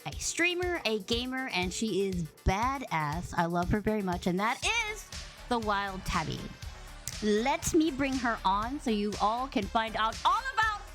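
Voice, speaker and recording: A bubbly woman hosts a casual streamed podcast. Her voice is big and boomy, and her pitch swings all over the place. Faint music plays underneath. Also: sing-song, unhurried. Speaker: podcast host. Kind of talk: casual live-stream chat. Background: faint background music.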